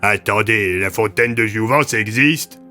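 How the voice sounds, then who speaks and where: A man's voice: lowish voice, unhurried, pushed and bright, soft background music. A man playing a blunt, questioning police detective in an audio drama. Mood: puzzled. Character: blunt male police detectives, plain-spoken.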